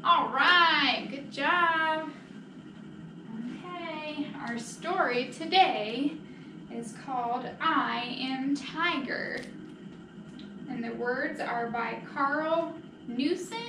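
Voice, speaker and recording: A woman's voice: sits high, drawn-out words. A woman leading storytime as a children's librarian, talking simply and encouragingly to small children. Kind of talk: children's storytime reading and singing. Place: library children's room, indoors. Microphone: distant camera microphone across the room. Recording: echoey room, distant mic, noisy.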